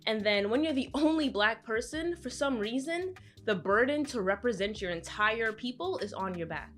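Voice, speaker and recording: Woman in her twenties, vlog commentary, fairly high voice, emphatic, faint music underneath. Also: expressive, moderate pace. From Brooklyn, New York, with Trinidadian roots. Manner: conversational, emphatic, acts out voices of others. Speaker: vlogger. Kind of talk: candid personal commentary to camera.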